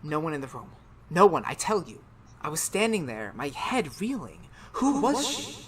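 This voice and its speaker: A woman playing a tormented, haunted man in a 1930s-style radio horror drama, speaking melodramatically with halting, emphatic repetitions. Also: low voice, sing-song, breathy edge, full character voice. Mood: frantic.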